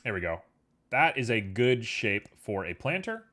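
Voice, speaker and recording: A man's voice: mid-range voice, sing-song, unhurried, sharp tone. Easygoing, rambling man with a sniffle. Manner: easygoing, rambling, filler-heavy. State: a little sniffly. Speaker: livestreamer. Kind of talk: casual chat while working on a 3D model.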